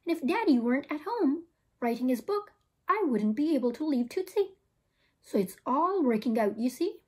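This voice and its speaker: A woman's voice: high-pitched, very animated, normal speed, a little mellow. A woman reading the part of a practical, firm mother in a storybook, explaining herself.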